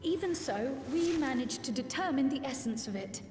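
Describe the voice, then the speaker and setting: A young scholar-envoy speaks in a high voice, earnest and articulate. She is formal and polite yet determined, a game cutscene heroine ringing through a long, echoing hall with music behind her. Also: animated, normal speed, a little mellow. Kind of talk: video game cutscene acting.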